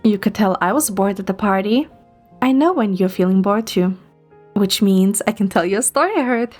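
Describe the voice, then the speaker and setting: A woman's voice: medium pitch, normal speed, faint music behind, close to an everyday voice. A woman acting a playful, chatty, curious young woman who teases her friend, in an amateur audio drama. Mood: teasing. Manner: teasing, animated, expressive.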